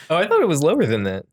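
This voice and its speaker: A man co-hosting a podcast, chatting casually about films in a joking, bantering way. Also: on the high side. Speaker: podcast co-host. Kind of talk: casual film-discussion chat.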